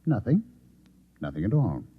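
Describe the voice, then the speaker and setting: Cold Martian infiltrator posing as reporter: formal, menacing, widely swinging pitch, narrow vintage radio sound. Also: male voice, very animated, husky, exaggerated voice. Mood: controlled, suspicious. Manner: formal, measured, quietly menacing. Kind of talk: golden-age radio drama acting. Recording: vintage radio broadcast, narrow phone-like band.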